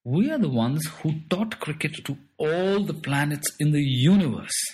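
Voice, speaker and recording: A man's voice: a little high, expressive, moderate pace, darkish tone, voice pushed up, close to an everyday voice. A man voicing a boastful little alien trickster who is playful, bossy and fond of made-up words, in a storybook. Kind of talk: storybook character voice.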